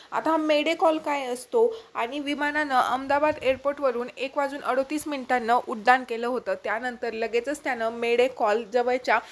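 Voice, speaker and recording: A woman vlogger delivers a news explainer in a notably high voice. She has a Marathi accent from Maharashtra. Her wording is formal and report-like, with occasional hesitations as she goes.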